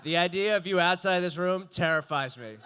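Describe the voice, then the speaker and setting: A young man doing a stand-up comedy set, with a dry, deadpan delivery that breaks into laughs. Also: sits high, brisk, a little hiss or hum.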